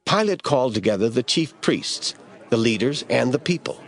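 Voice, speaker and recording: A man narrating scripture in a radio drama, reading in a steady, measured way. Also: medium pitch.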